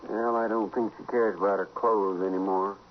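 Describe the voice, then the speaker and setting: A man's voice: fairly low pitch, fairly even intonation, moderate pace, close to an everyday voice. An actor playing an earnest, deferential frontier lawman's helper with a folksy in a 1950s radio western. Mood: earnest, sympathetic. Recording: muffled, noisy vintage 1950s radio recording.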